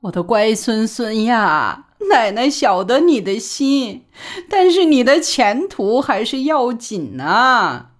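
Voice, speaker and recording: A woman voicing a doting old grandmother who talks to her grandson slowly and tenderly. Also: lowish voice, big pitch swings, full character voice. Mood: tender tearful. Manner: slow, tender, pleading.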